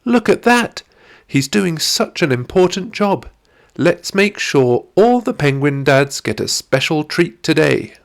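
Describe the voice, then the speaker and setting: A man voicing a cheerful, eager ten-year-old girl who trains animals in a children's storybook, bright and encouraging in manner. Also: a little high, sing-song, a little slow, gravelly, pitched above the performer's own voice, heavily acted. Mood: delighted.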